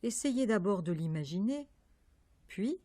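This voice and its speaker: A woman leading a guided yoga meditation, giving calm, measured instructions with pauses between phrases. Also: mid-range voice, no room sound. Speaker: yoga nidra meditation teacher.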